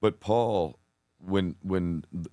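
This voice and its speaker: A man speaking as an interview guest, earnest and deliberate, often breaking off and restarting his sentences. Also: low voice, warm tone, dry recording. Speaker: podcast guest. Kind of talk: conversational interview, storytelling.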